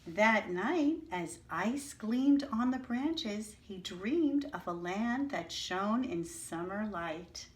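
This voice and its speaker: A woman teacher reading a picture book aloud to young children, stopping to explain words and ask the class questions. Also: medium pitch. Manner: slow, clear, expressive teacher voice, asking the class questions. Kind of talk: children's story read-aloud with teaching asides.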